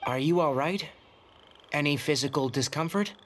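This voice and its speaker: An actor playing a calm, caring friend in a video game. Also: male voice, a little high, moderate pace, noisy background, close to an everyday voice. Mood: concerned. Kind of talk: English video game voice acting.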